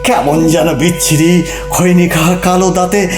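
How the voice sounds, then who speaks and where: A mocking husband acted by a man in a comic radio-style play, pitched high for a man. He argues and teases with exaggerated theatrical flourish. The recording is echoey, with music playing underneath. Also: steady tone, moderate pace, close to an everyday voice. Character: teasing, argumentative husband needling his wife. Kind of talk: Bengali comic audio play dialogue.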